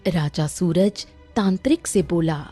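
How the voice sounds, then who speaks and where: A woman's voice: on the low side, slow, dark tone, husky, soft background music. A woman narrating a children's story in a scripted storytelling style.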